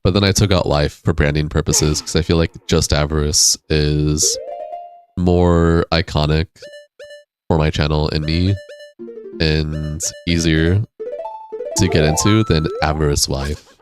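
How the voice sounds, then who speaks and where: A young man with a deep voice, casually streaming a game and chatting with viewers in a laid-back, rambling way. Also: fairly even intonation, measured pace. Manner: laid-back, rambling, reads and answers chat. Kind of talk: casual live-stream chat while gaming. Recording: game music playing behind.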